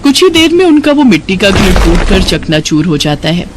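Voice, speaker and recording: A man's voice: high-pitched, very animated, moderate pace, noisy background. A man narrating a scripted moral story for a cartoon.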